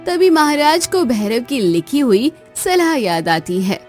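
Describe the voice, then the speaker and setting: A woman's voice: high-pitched, sing-song, measured pace, soft background music. A woman working as a narrator, reading a scripted moral story.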